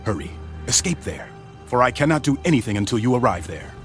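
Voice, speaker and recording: An actor playing a stern angelic messenger of God, grave and authoritative, in a dramatized audio Bible. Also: male voice, medium pitch, big pitch swings, fast, faint music behind, close to an everyday voice. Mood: solemn granting.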